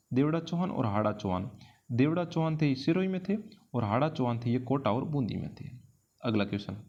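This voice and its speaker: A dull, lo-fi recording carries an Indian man's mid-pitched voice. He teaches in a didactic question-and-answer style for exam preparation. Key phrases come back again for emphasis. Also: a little mellow. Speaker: history teacher.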